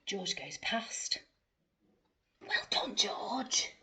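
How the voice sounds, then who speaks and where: A woman reads a picture book to young children. Her delivery is theatrical and very slow, with lively swings in pitch. She puts questions to the listener and drops into hushed asides. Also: in a whisper. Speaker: primary school storyteller.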